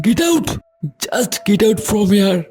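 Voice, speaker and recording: Guy doing a rich snobby cartoon boss man, dark mellow voice, theatrical, tosses in english bits. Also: high-pitched, drawn-out words, soft background music. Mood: mocking disdain. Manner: haughty, theatrical, drops in English phrases. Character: arrogant rich businessman, contemptuous, doting father. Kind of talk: cartoon voice acting.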